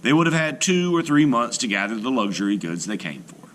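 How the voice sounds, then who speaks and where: A man reading scripted narration like a teacher, measured and explanatory, for young listeners. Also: mid-range voice, sing-song, a bit bright, slight background noise. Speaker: storyteller and teacher. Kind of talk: scripted read-aloud narration.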